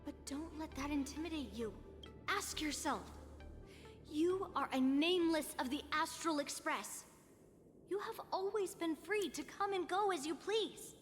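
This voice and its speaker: A woman playing a confident, commanding young girl warrior in an anime game, speaking in a measured, formal, self-assured way. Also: sits high, expressive. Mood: calm, encouraging. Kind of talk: scripted anime game voice acting. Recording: long reverb, game music behind.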